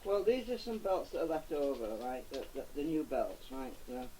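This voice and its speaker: Mellow man muttering over a repair. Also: on the high side, very animated, normal speed. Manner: muttering, thinking aloud, sighing as he works. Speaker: hobbyist electronics repairer presenting his own video. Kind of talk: casual unscripted commentary while working. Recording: noisy amateur camera recording.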